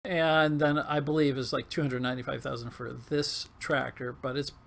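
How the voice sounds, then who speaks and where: A man with a coarse, hoarse, failing voice giving casual, halting commentary over gameplay. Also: medium pitch, flat delivery, fairly bright tone. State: hoarse, losing his voice. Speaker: gaming video host.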